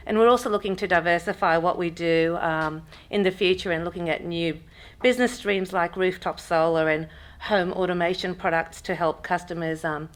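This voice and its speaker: Woman, 30s, slightly low voice, unscripted conference talk with many ums. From Pakistan. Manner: relaxed, frequent ums, restarts sentences. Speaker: workshop speaker. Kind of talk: business workshop talk. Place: conference room with audience.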